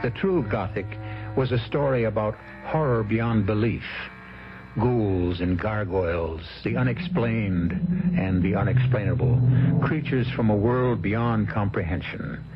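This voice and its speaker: A man narrating an old radio mystery series as host, theatrical and ominous, with measured delivery and a gravelly voice. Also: on the low side. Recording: muffled old radio recording. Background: loud dramatic music.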